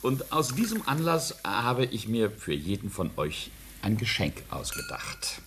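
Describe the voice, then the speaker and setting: A man's voice: on the low side, big pitch swings, measured pace, background noise. A middle-aged man playing a self-satisfied, jovial and domineering rich patriarch in a radio play, pompous and teasing. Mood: grand, generous.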